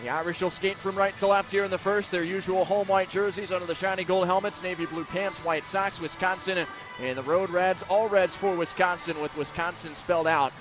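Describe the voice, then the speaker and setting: A man doing live radio play-by-play commentary of a hockey game, describing the action in crisp detail. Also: high-pitched, fairly even intonation, brisk, music in the background. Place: indoor ice hockey arena. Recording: noisy live arena broadcast. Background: loud arena noise.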